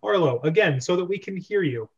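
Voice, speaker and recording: A man's voice: high voice, expressive, brisk, a crowd in the background. A man hosting and casually directing an amateur reading over a video call. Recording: dull video-call audio.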